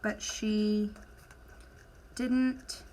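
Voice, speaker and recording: A woman teacher leading an instructional lesson for children, asking questions, encouraging answers and dictating deliberately as she writes. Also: mid-range voice, flat delivery, darkish tone, slight background noise, rough recording. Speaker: elementary school teacher.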